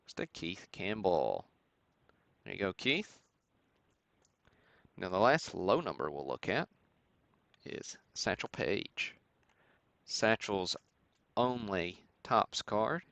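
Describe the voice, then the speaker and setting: Man, deep voice, creaky fry, livestream host, matter-of-fact, halting delivery, close dry sound. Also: sing-song, a bit bright, dry recording. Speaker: host of a sports card break stream. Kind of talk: live show-and-tell commentary on vintage cards.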